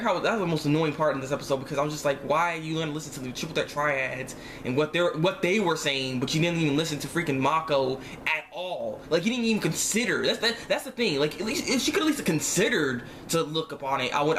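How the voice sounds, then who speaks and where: Guy with a pretty high voice doing an off the cuff show review, slangy and worked up, repeats himself, bit of background noise. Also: animated, fast, few pauses, a bit bright. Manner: exasperated, rambling, emphatic repetition; informal slangy speech. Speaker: online reviewer. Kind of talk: casual animated series episode review.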